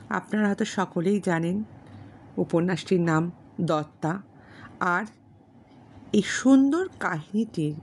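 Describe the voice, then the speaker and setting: A woman narrating a classic novel as a storyteller, formal and measured, pausing between short phrases. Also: lowish voice, slight background noise. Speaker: storyteller and channel host. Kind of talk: audiobook narration of a classic novel with spoken introduction.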